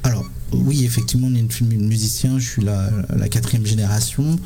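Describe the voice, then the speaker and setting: A man in his thirties answering interview questions spontaneously, haltingly and searching for words. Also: lowish voice, steady tone, creaky, warm tone. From Sri Lanka. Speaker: interviewed musician and music teacher.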